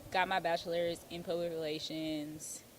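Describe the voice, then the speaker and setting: A woman's voice: fairly low pitch, level tone, moderate pace, a little hiss or hum. A woman from Houston, chatting as an interview guest with lots of "um" and "like". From Houston, Texas. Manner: chatty, uses many fillers like "um" and "like". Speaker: guest, attorney and author. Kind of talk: casual interview answers.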